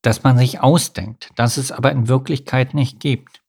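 A low, sighing old carp from a children's story, a stuffy-nosed grouch who talks down to the young, as a man reading aloud puts him on. His voice is gravelly and husky, slow and weary. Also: fairly even intonation, darkish tone. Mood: grumpy. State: sniffly cold. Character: grumpy old male carp, stuffy cold.

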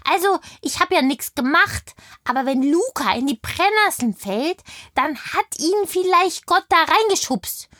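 A woman's voice: very high-pitched, lively intonation, a little slow, fairly bright tone, dry recording, studio quality, full character voice. A woman voicing a chatty, indignant little kindergarten girl in a children's audio play, telling things with childlike eagerness. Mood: gleeful, questioning.

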